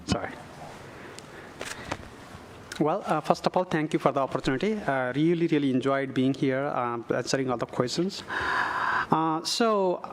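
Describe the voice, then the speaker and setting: A middle-aged man from Nepal, a council candidate giving a closing statement at a forum, speaking haltingly with many filler sounds. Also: medium pitch, a little hiss or hum.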